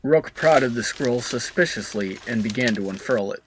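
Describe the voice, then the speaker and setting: A man's voice: mid-range voice, normal speed, a little hiss or hum, low-quality recording. A man narrating a fan fiction story in a scripted storybook style.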